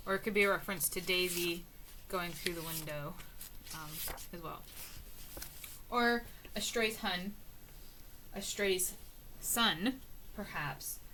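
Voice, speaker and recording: A woman reading aloud from book notes in a casual, musing way, with many ums and uhs, often trailing off softly. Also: medium pitch, a little hiss or hum, poor audio. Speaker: book reader and annotator.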